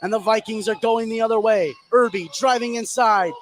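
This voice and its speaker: A man doing excitable live play-by-play of high school basketball in short running phrases. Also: normal speed, background noise. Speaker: play-by-play sports commentator.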